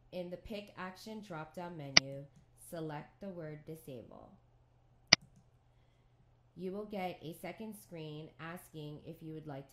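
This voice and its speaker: A woman reading scripted instructions for a software tutorial in a measured, neutral, step-by-step way. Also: low voice, heavy background noise.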